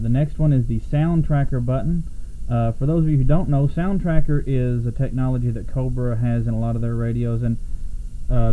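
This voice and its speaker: An American man giving a casual, unscripted product overview in a rambling, self-correcting way. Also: mid-range voice. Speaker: product reviewer. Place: small home room, at a desk. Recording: muffled lo-fi camera audio, background noise.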